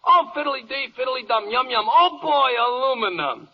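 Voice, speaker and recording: Giddy, high-pitched radio mineral spokesman. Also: normal speed. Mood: giddy, excited. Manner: excitable, singsong rhyming patter. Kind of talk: 1940s radio comedy verse acting. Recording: muffled, noisy old radio recording.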